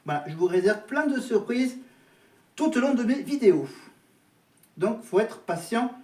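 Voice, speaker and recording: High-pitched man, casual vlog talk, halting with many restarts, roomy echo, distant from the mic. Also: animated, warm tone. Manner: hesitant, repeats words and restarts often. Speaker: vlogger. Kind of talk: casual chat to camera. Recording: echoey room, voice from off mic.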